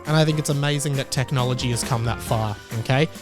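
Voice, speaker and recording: A young Australian man hosting a solo podcast, telling family stories with lively acted-out dialogue. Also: mid-range voice, fast talker, music in the background. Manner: animated storytelling, acts out family dialogue. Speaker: podcast host.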